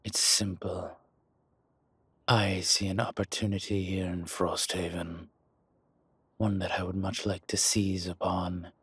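A suave dragon mob boss acted by a man schemes in a deep, breathy voice. He speaks slowly and evenly, with deliberate pauses, in a close, dry audio roleplay. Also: flat delivery, a bit bright, no room sound, full character voice. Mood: sly, persuasive. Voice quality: husky, breathy. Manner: measured, smooth-talking, deliberate pauses. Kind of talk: slow, intimate scripted roleplay monologue.

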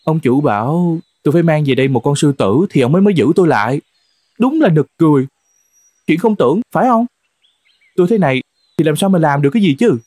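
A man's voice: on the high side, expressive, fast, darkish tone, no echo, studio quality. Man voicing a weary, plaintive old horse. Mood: bitter, helpless. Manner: plaintive, resigned, sighing. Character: weary, despondent old male horse, loyal and cast out. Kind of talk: animated folk tale dialogue. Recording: music under the voice.

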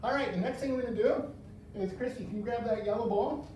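A man's voice: extremely high pitch, quick pace, a little mellow, far from the mic, a little hiss or hum. A man in his thirties leading an adaptive fitness class, giving upbeat step-by-step cues and encouragement. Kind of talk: instructional exercise class. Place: large bare indoor room. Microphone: far camera. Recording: very echoey room, voice from afar.

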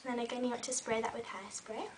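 A young woman in her twenties, recovering from a cold and a bit croaky, chatting casually through a hair tutorial in her bedroom. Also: fairly high pitch, fairly even intonation, fast, far from the mic, background noise. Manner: chatty, talks while styling hair, many ums. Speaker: beauty vlogger. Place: small bedroom with fairy lights. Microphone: webcam a little way off.

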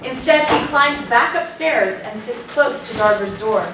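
A woman's voice: a little high, animated, a little slow. A woman reading stage directions aloud as scripted narration for a staged play reading. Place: small indoor venue, before a seated audience. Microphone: far camera. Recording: very echoey, distant, noisy room recording.

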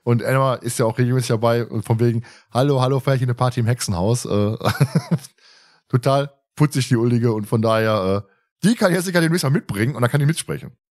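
A man's voice: mid-range voice, a little fast, darkish tone. A man hosting a podcast in casual chat, joking and often chuckling as he talks. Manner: jokey, chuckles often while talking. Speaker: podcast host moderating the questions.

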